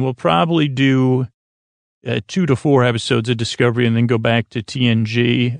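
A man hosting a bedtime podcast in a meandering, halting monologue, often stammering and correcting himself, with a gravelly, creaky voice. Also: medium pitch, no echo. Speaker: podcast host. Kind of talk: rambling bedtime-story monologue to help listeners sleep.

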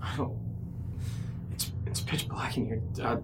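A man's voice: unhurried, in a whisper, lightly acted. A man acting a jumpy, sarcastic young man in a horror audio drama, hesitant and wisecracking in a naturalistic way. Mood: tense, urgent. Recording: noisy amateur location recording, uneven levels.

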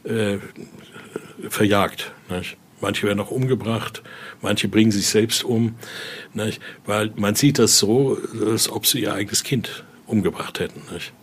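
A man giving an informal, halting explanation as a guide, often ending phrases with little questioning tags. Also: fairly low pitch, husky. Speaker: interviewee, exhibit guide and Voodoo expert. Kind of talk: informal spoken explanation during a guided tour.

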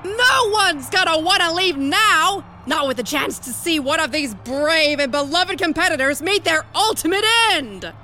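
A woman playing an excitable sports commentator in an audio drama about a deathmatch. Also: high-pitched, unhurried, hardly any pauses, over music. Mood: excited.

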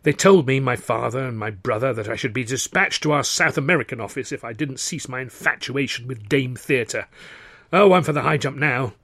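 A man voicing an eager, stage-struck young English gentleman in a storybook, effusive and theatrical. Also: medium pitch, fairly quick, dry recording. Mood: resigned.

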